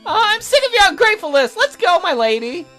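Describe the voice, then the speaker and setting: A woman's voice: very high voice, big pitch swings, a little fast, fairly bright tone, faint music behind, voice pushed up, heavily acted. A woman voicing a fussy, devoted magical attendant in a visual novel, prim and snippy but deferential to a lady. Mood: indignant.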